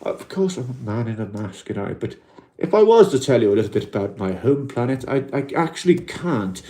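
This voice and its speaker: A Welsh man chatting on a livestream from home in a soft, unhurried, reflective way, often pausing on "you know". His voice is mellow and warm, at an ordinary middle pitch. He reads viewers' comments aloud and answers them calmly. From Wales. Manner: gentle, reflective, many "you know" fillers. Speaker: livestream host in an alien costume. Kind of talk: casual livestream chat reading comments.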